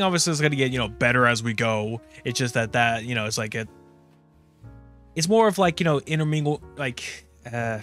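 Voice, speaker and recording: A man gives casual reaction commentary at a middling pitch. He rambles and trails off as he searches for words, with faint music behind him. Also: fairly bright tone. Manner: halting, rambling. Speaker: reaction video host.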